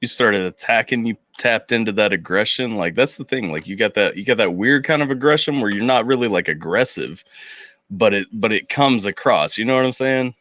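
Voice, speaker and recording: Animated man chatting about music. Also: fairly low pitch, brisk, dry recording, clean studio sound. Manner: animated, filler-heavy, lots of "like". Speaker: podcast host. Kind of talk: casual music chat.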